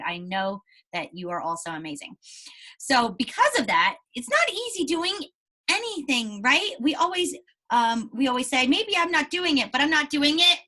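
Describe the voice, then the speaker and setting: A woman's voice: a little high, pushed and bright. A woman teacher reading aloud and explaining things to young pupils, encouraging them and often checking in with questions. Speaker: primary school teacher.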